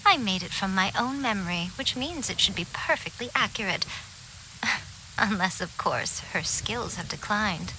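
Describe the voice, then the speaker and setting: Bright, poised, sly fox spirit. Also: female voice, mid-range voice, expressive, slight background noise, low-quality recording. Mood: teasing, smug. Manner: measured, teasing, formal and self-assured. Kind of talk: video game voice acting. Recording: music under the voice. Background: background music.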